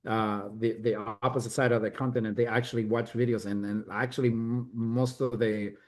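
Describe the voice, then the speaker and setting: A man's voice: mid-range voice, flat delivery, brisk, a little mellow. A middle-aged American man, a guest on a podcast, chatting casually in a rambling way with many restarts and repeated words. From United States. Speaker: guest, web developer. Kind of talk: casual interview chat.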